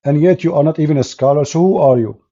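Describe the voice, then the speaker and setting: Blunt mocking host, dark muffled voice. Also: male voice, fairly high pitch, fast. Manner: blunt, mocking, non-native English. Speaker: host of call-in debate show. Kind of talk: combative call-in debate.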